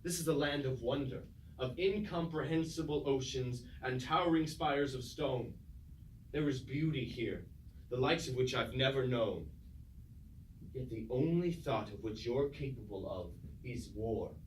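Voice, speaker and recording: A man's voice: mid-range voice, a little slow, close to an everyday voice. An actor playing a grave, humbled, philosophical fallen robot king in stately stage-tragedy declamation. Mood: impassioned, reproving. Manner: stately, declamatory, archaic phrasing. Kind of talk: theatrical Greek-tragedy stage acting. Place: indoor room, staged reading at a university. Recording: distant, echoey recording, faint noise.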